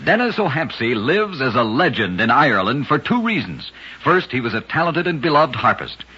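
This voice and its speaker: A man with a husky, raspy voice narrating odd facts as a scripted vintage radio host, with dramatic, emphatic delivery. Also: medium pitch, sing-song, moderate pace, bright, forceful tone. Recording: dull lo-fi vintage radio recording.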